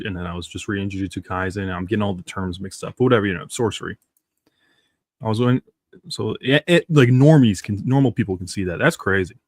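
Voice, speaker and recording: A man's voice: on the low side, dry recording. Stuffy-nosed man chatting on a stream. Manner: rambling, chatty, reads comics aloud. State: sick with a stuffy nose. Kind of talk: casual live stream reaction.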